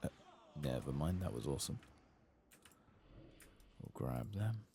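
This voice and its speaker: A man doing soft-spoken, partly whispered ASMR game commentary, murmuring to himself in an understated way. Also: very deep voice, very animated, a little slow, vocal fry, slight background noise.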